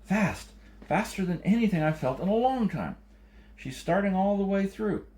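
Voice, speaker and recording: A man performs an agitated rustic man who can sense earthquakes in a science fiction audiobook. The voice is pushed well up in pitch and loud, with urgent, insistent, emphatic delivery. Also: animated, moderate pace. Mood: urgent alarm. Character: agitated, screeching male quake-sensing farmer, urgent and insistent.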